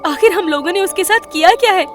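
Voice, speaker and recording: A woman playing an earnest, frightened young wife in peril in an animated horror story. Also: very high-pitched, quick pace, music in the background. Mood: worried.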